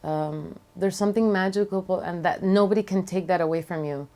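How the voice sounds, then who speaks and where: A woman from Venezuela speaking reflectively in an interview as a singer-songwriter, thoughtful and halting, often pausing mid-phrase. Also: on the low side, warm tone.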